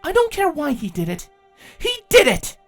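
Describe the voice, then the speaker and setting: A man's voice: very high voice, wildly swooping pitch, normal speed, fairly bright tone, soft background music, voice pushed up, full character voice. A man voicing a troubled young female changeling, proud and wounded, in a fantasy story reading. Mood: bitter anger.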